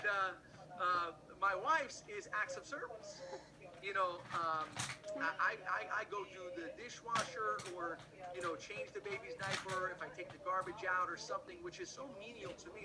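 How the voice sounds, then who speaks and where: A man's voice: high voice, poor audio. A man in his forties hosting an interview, asking questions in a chatty, rambling way with many fillers. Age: 40s. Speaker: host. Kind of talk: conversational interview.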